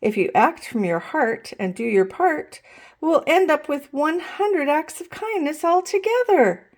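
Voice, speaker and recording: Storybook kindergarten teacher, female, voiced by a woman reader: high, animated, sing-song, relentlessly encouraging. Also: measured pace. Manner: bright, exclaiming, sing-song. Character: upbeat, encouraging female kindergarten teacher who speaks in rhymes.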